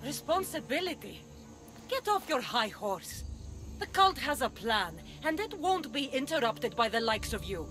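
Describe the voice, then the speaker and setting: A cold, scornful young Greek warrior woman in a dramatic game scene. Her voice sits fairly high and swings widely with contempt and threat, over prominent music. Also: big pitch swings, a little slow. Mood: mocking contempt. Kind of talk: video game dramatic acting.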